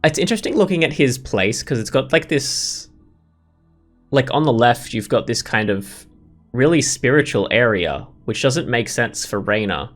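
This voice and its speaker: A man's voice: medium pitch, expressive, moderate pace, a bit bright, faint music behind. A man giving casual let's play commentary, opinionated and rambling as he thinks aloud. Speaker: gaming video host.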